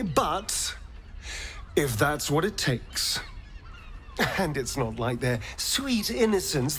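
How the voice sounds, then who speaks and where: A man's voice: a little high, a bit bright, slight background noise, full character voice. A man playing a theatrical, scornful vampire in a video game. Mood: flippant, testing. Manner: theatrical, sardonic, drawling. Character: theatrical, scornful, vengeful male vampire spawn.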